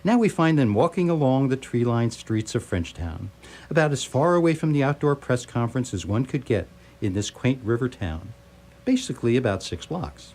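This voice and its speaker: A man's voice: medium pitch, sing-song, moderate pace, a little mellow. Guy doing wry storyteller narration for a radio drama, voice really moves up and down. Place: live radio theatre performance.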